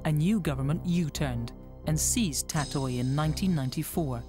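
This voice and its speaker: A documentary narrator delivering scripted, measured explanation. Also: medium pitch. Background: music.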